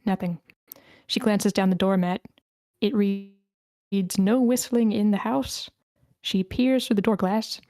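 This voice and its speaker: A woman's voice: mid-range voice, darkish tone, no room sound. A woman reading screenplay scene directions aloud as the narrator of a table read.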